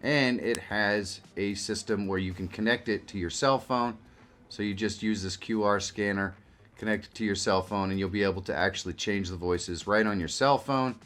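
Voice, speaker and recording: A man in his fifties presenting a software tutorial as a video creator, explaining in a casual, direct way. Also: fairly low pitch, normal speed. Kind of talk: tutorial narration to camera and screen. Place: small indoor home studio. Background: music.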